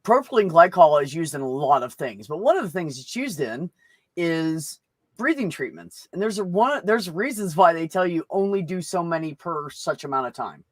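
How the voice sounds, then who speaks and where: A man's voice: fairly high pitch, sing-song, moderate pace, no echo. A man in a casual group chat, talking bluntly and informally with plenty of swearing.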